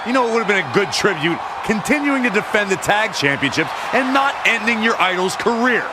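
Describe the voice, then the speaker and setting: Indignant wrestling commentator, high male voice, game sports commentary, noisy arena crowd. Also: big pitch swings, a little fast. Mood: sarcastic, indignant. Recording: loud arena crowd noise behind.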